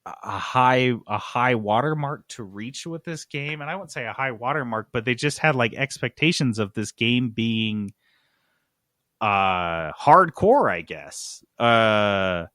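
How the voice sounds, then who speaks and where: A man's voice: mid-range voice, expressive, measured pace, sharp tone, no room sound, clean studio sound. A man hosting a gaming podcast, explaining news casually in an emphatic, sarcastic, sweary way. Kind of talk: casual comic explaining of game industry news.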